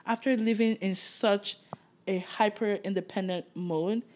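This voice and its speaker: A woman's voice: medium pitch, fairly even intonation, unhurried, a little mellow. A Nigerian woman in her twenties, co-hosting a podcast in casual, reflective chat and laughing easily. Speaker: podcast co-host.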